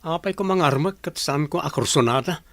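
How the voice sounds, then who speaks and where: A man's voice: on the high side, brisk, a bit bright, no echo. A man playing a plain-spoken village man in a radio drama. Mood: explaining.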